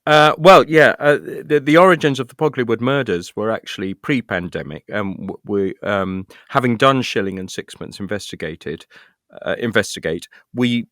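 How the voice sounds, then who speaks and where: British male guest, low register, conversational and hesitant, self-deprecating, very little room sound. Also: normal speed, fairly bright tone, no room sound, clean studio sound. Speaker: interview guest, actor and writer. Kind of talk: casual conversational interview.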